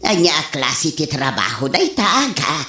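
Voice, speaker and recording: A nosy older Ilocano village auntie in a comedy radio drama. She teases slyly at a slow pace with lively pitch swings. Her voice echoes over faint music. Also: exaggerated voice. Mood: prying.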